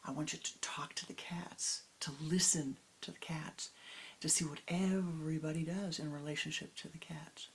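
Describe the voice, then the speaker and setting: A woman voicing a wise, gentle old grandmother who speaks in a soft whisper, in a told story. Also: low-pitched, steady tone, moderate pace, a little hiss or hum. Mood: solemn.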